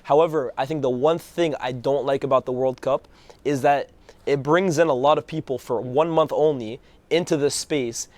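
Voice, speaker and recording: A hoarse man co-hosting a casual football chat, telling stories in a fast, animated way. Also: mid-range voice, no echo. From Algerian background. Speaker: co-host.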